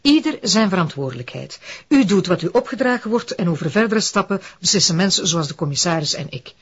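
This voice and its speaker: A haughty Flemish magistrate in a TV crime drama. Speech is quick and stern, the voice slightly husky, on a dull soundtrack. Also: female voice, on the low side, expressive. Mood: icy, stern. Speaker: actress in a police drama.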